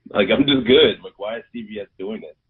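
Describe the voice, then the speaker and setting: A man's voice: mid-range voice, lively intonation, brisk, vocal fry, slightly rough. A man co-hosting a casual movie podcast, blunt and sarcastic in his opinions. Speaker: podcast co-host. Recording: tinny phone-like line.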